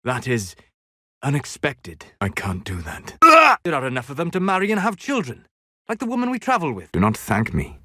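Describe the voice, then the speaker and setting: A man playing a calm, scholarly, melancholy elven mage in a video game, speaking in deliberate, formal phrases. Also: a little high, no room sound, studio quality, exaggerated voice. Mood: urgent, forceful. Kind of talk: scripted video game character dialogue.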